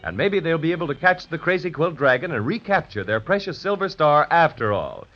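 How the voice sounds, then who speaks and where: A male announcer with a fairly high, very animated voice narrates a 1930s children's serial with storybook suspense. The vintage recording is dull and muffled. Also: fairly quick, faint music behind. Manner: storybook suspense, addressing young listeners.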